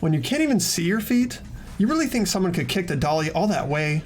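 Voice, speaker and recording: Guy doing an earnest teen boy detective, highish voice, really animated, music behind, visual novel game. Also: fairly quick. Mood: pressing, insistent. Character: earnest teenage boy detective reasoning through a murder case. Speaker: amateur voice actor in a group playthrough.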